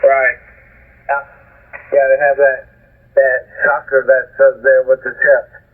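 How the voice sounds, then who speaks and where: A thin, band-limited shortwave radio signal carries a man's mid-pitched voice. He is an amateur operator chatting casually, with a folksy, salty, rambling way of talking. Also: level tone, slow pace, phone-quality sound. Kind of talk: casual chat over ham radio.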